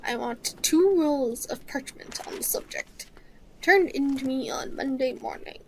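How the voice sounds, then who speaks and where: A woman playing a stern, cold male schoolteacher in a fan audio drama, curt, deliberate and commanding. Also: high-pitched, a little hiss or hum, poor audio.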